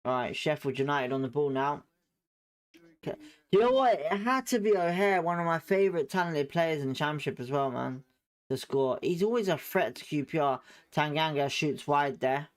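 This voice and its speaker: Clear-voiced male fan doing match commentary. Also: on the high side, lively intonation, moderate pace. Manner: chatty and opinionated, hedging his views. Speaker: fan watchalong host.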